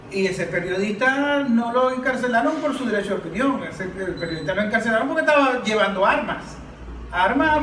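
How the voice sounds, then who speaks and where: High-pitched Venezuelan man in a roomy recording. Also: fairly bright tone. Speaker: forum podcast moderator and political analyst. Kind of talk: political panel discussion. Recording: roomy, distant mic, faint noise.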